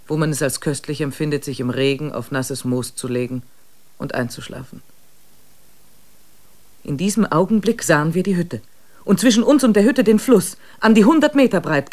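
A man narrating a radio play in a measured, solemn storytelling voice. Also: on the high side, close to an everyday voice.

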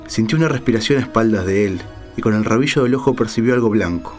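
A man's voice: on the low side, quick pace, faint music behind. A man narrating a suspense story in a dramatic literary style.

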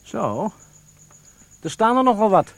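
An actor playing a curious, canny visitor in a Dutch radio drama, husky and hesitant, politely impressed as he probes. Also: sits high, big pitch swings, slow, no room sound, full character voice. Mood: hesitant, observing. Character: curious, canny male visitor flattering his host.

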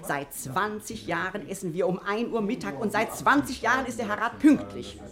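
A woman's voice: medium pitch, expressive, moderate pace, crowd noise, natural voice. Radio play housekeeper female actor: fretful, insistent, emphatic, with strongly swinging intonation. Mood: worried, agitated. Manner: agitated, emphatic, earnest. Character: worried, insistent housekeeper, unmarried woman.

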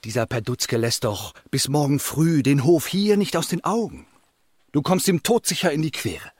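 An impatient schemer in a radio drama. His pitch swings widely as he cajoles and commands insistently. The sound is close and dry. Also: expressive, normal speed, a little mellow, slightly rough, dry recording. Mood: scheming, insistent. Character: scheming, impatient man pushing an accomplice into a false confession. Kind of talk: German radio drama acting.

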